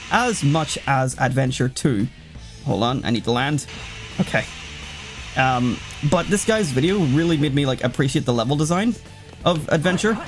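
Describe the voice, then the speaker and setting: A man giving casual, sweary let's play commentary, rambling and often cutting himself off as he reacts to the game. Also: mid-range voice. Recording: loud game music behind. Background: video game music.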